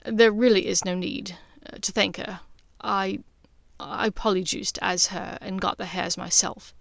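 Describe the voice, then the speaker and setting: A young wizard in an audiobook fantasy, voiced by a woman. He is grumbling and embarrassed, halting awkwardly through what he has to say, recorded close and dry. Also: medium pitch, steady tone, vocal fry, dry recording. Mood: weary, reassuring.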